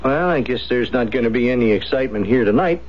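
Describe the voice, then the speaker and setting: An actor playing a cynical, flippant drinker in a radio drama, with a mocking, theatrical delivery. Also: male voice, medium pitch, lively intonation, fast talker, natural voice. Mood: sardonic. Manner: sardonic, theatrical. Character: cynical, boozy male companion, mocking, flippant. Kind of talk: 1970s radio drama acting. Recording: dull, noisy 1970s radio recording.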